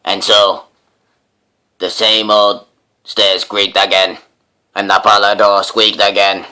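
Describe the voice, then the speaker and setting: A man with a low, bright and forceful voice reads a children's storybook. His delivery is theatrical and deliberate, like a narrator giving a dramatic reading. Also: level tone, clear, resonant tone. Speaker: storybook reader, host.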